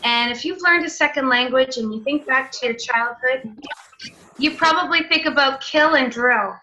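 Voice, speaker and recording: Bright-voiced woman leading an online seminar. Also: high voice, moderate pace, a little hiss or hum, poor audio. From Canada. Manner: friendly, explanatory, with filler sounds. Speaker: teacher trainer. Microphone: video call.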